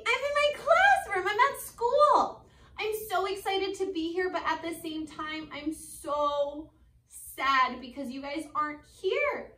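An American woman in her twenties, a schoolteacher reading a picture book aloud to children with expressive, chatty asides. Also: very high voice. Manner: animated, expressive, deliberate, questioning young listeners. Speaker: elementary school teacher. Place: school classroom, indoors. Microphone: phone camera a few feet away. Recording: roomy classroom, mic at a distance.

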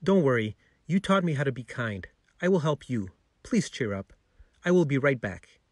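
A man's voice: mid-range voice, very animated, normal speed, no room sound, clean studio sound. A man voicing an eager, kind-hearted young male pet dog in a children's story, earnest and exclamatory.

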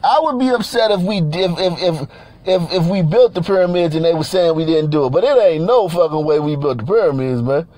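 High-voiced man ranting bluntly. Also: lively intonation, brisk, warm tone, no room sound. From Nigeria. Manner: blunt, profane, repeats himself, laughs between points. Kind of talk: casual ranting commentary over pictures.

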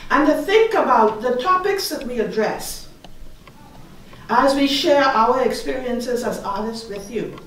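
A middle-aged woman from the Bahamas with a Bahamian accent, leading a slow, soothing guided meditation on stage as a panel artist. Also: fairly high pitch, a little hiss or hum. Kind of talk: guided meditation address to an audience. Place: large hall, on stage. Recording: echoey hall from far off.

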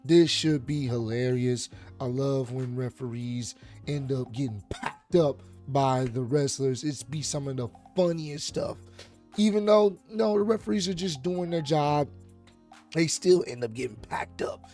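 A man in his thirties with a hoarse voice, hosting a casual reaction video from a home streaming room on a studio mic, chatting easily and breaking into loud laughs and shouts. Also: medium pitch, measured pace, soft background music. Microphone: studio mic on arm, headphones.

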